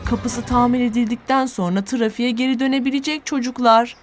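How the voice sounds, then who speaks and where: Casting: female kids' cartoon narrator, fairly high voice, encouraging and instructive, music clearly underneath. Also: steady tone, fairly quick. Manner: didactic, encouraging, clearly articulated. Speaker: narrator of a children's educational cartoon. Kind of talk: scripted narration addressed to children.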